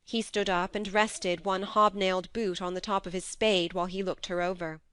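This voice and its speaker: A woman reading a classic novel aloud as a steady, measured audiobook narrator on a close mic. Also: medium pitch, clear, resonant tone, no room sound.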